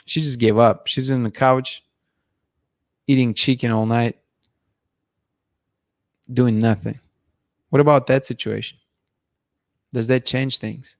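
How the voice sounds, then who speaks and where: A man in his thirties hosting a night-time livestream, bluntly giving his opinions and reading chat comments aloud, his voice going hoarse. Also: mid-range voice, fairly even intonation, slow, long pauses, no room sound, clean studio sound. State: losing his voice, clearing throat. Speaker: livestream host. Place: dim room at night, indoors. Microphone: studio mic in front of him.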